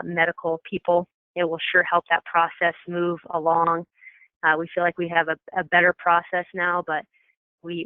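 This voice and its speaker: A program coordinator giving an informal, matter-of-fact, explanatory briefing to coaches over a telephone conference call. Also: mid-range voice. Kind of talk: informal meeting presentation. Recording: tinny, echoey telephone conference line.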